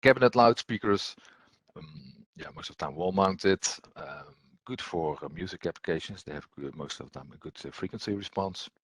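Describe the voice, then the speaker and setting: A man presenting technical training as an instructor, explaining step by step in a hesitant, halting way with frequent ums and repeated words, his voice husky. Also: low voice, lively intonation, dry recording.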